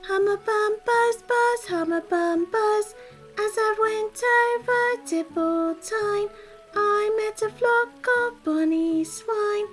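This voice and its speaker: A woman's voice: very high-pitched, slow, faint music behind, voice pushed up. A woman voicing an impertinent young male squirrel from a storybook, chanting riddles in a teasing sing-song. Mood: rude, sing-song.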